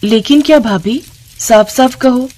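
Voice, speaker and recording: A woman voicing a gentle, earnest twenty-year-old village sister-in-law in a narrated story. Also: on the high side, measured pace, voice pushed up. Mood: concerned. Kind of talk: acted dialogue in a narrated story.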